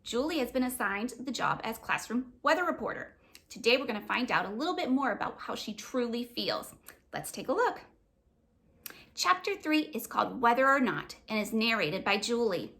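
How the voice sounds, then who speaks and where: A woman's voice: a little high, sing-song, normal speed, pushed and bright. A woman hosting a children's read-aloud, narrating and explaining things simply in a teacherly way.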